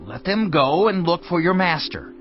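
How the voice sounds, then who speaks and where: An actor playing a respectful, pleading follower of a prophet in a dramatised audio scripture, with a deliberate, deferential manner. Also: male voice, high voice, animated. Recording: dull lo-fi sound, music underneath.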